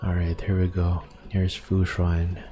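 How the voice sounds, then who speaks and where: Low, flat-voiced man streaming a game. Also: measured pace, music in the background. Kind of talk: casual live game commentary.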